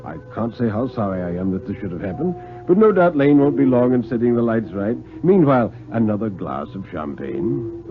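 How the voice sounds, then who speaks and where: Man playing a composed, courteous gentleman investigator. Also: fairly low pitch, big pitch swings, soft background music. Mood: smooth concern. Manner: formal, measured, polite. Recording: lo-fi 1950s radio recording.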